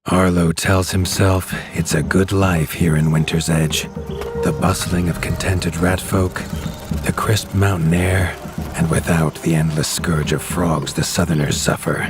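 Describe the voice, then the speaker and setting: Man, very deep voice, grave measured storyteller, game narration, studio voice-over. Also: level tone.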